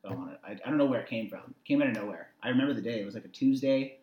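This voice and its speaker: An animated man in his twenties speaks casually in an echoey room. His pitch is average for a man, and he often repeats himself to make a point. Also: rapid-fire, muffled recording. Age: 20s. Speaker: interview guest. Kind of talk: casual conversational storytelling.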